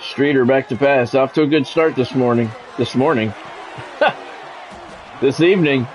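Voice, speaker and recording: A man's voice: medium pitch, measured pace, slight background noise, poor audio. A man doing play-by-play commentary on a football video game in a conversational way, with wry asides. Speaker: play-by-play announcer.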